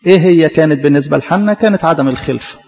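Fast-talking Egyptian preacher in a hall. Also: male voice, a little high, creaky, darkish tone, dull audio. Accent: Egyptian Arabic. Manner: questioning, repetitive. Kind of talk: sermon.

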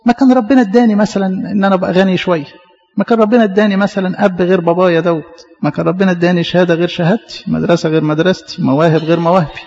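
A high-voiced man with an Egyptian accent delivers a quick sermon, throwing out repeated questions to the congregation. The recording is muffled and rings with the long reverb of a big hall. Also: fairly even intonation, a little mellow. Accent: Egyptian Arabic. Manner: questioning, repetitive. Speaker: preacher.

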